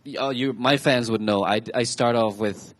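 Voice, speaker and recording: A man in his twenties from Thailand, telling personal stories to an audience on a stage talk show in a chatty, halting way with frequent restarts. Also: mid-range voice. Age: 20s.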